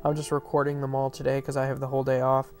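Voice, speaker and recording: A man's voice: mid-range voice, completely flat delivery, fast talker, a little mellow. A man with a stuffy, nasal voice giving casual, rambling commentary over gameplay, full of fillers and restarts. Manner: rambling, filler-heavy, self-correcting. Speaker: map reviewer. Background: faint background music.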